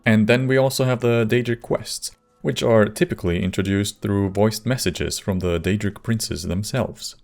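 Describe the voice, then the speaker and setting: A man narrating a scripted video essay as host, measured, with wry asides. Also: fairly low pitch, gravelly, darkish tone. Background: faint music.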